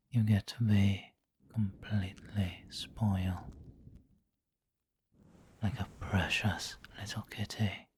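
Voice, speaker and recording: A man playing a refined, doting and possessive vampire lord in an intimate ASMR roleplay, with a breathy whisper and formal, tender phrasing. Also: deep voice, darkish tone, a little hiss or hum. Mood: tender, soothing. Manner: slow, formal, tender, indulgent.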